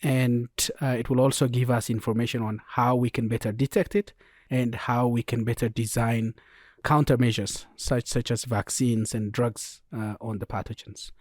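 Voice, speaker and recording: A man with an Ethiopian accent, explaining as an expert guest in a podcast interview in a measured way. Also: mid-range voice, fairly even intonation, a little mellow, no echo, studio quality. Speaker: genomics programme lead, interview guest.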